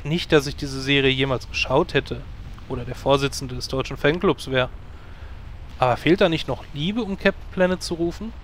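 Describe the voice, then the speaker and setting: A man's voice: mid-range voice, moderate pace, a little hiss or hum, lightly acted. A man playing a dry, sarcastic male druid who leads a guild, in a parody audio drama.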